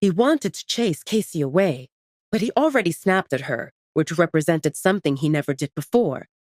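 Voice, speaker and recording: A woman's voice: lowish voice, lively intonation, normal speed, a little mellow, no room sound, clean studio sound. A woman narrating a scripted romance story in a dramatic audiobook style.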